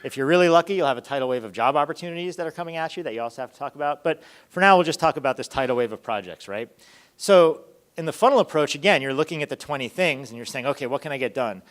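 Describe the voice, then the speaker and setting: Fast-talking man giving a talk. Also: fairly high pitch, expressive, fairly bright tone. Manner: conversational, checks in with rhetorical "right?". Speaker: user experience research lead giving a conference talk. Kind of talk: informal conference presentation.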